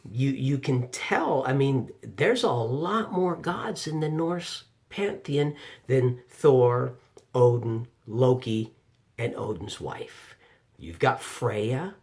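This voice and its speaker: A man reviews audiobooks in an informal video style from his home office. His delivery is unhurried, with dramatic pauses and repeated phrases for emphasis. His pitch moves in a lively, animated way around an average register.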